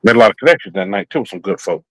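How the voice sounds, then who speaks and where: A man's voice: fairly low pitch, rapid-fire, dry recording. A man from New York telling stories in a casual podcast chat, acting out the quotes. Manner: animated storyteller, acts out quotes.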